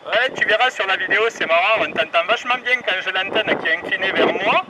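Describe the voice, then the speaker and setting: Male radio hobbyist, high voice, informal and jovial delivery, recorded outdoors amid traffic noise. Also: fast talker. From France. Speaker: amateur radio operator filming a test. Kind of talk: casual radio chat.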